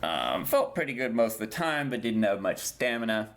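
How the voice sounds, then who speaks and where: A man's voice: lowish voice, very animated, normal speed. A man in his thirties co-hosting a podcast, chatting casually and rambling with lots of ums and restarts. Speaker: podcast co-host. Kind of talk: casual chat.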